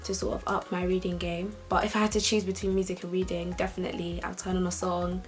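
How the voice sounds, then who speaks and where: A woman's voice: on the low side, fast talker, faint music behind. A young woman, talking casually and upbeat to her camera in a vlog. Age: young adult. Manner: chatty, lively and upbeat. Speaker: vlogger.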